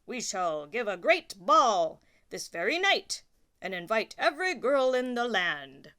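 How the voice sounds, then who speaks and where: Woman doing a pompous storybook king, slow and loud. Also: on the high side, sing-song, full character voice. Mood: commanding. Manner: pompous, declaiming. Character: blustering old king, commanding man.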